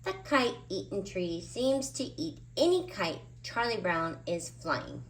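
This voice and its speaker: A woman reading a children's picture book aloud in an expressive, emphatic way, drawing out key words. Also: mid-range voice, takes it slowly, slight background noise. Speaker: children's storybook reader. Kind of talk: animated read-aloud narration of a picture book.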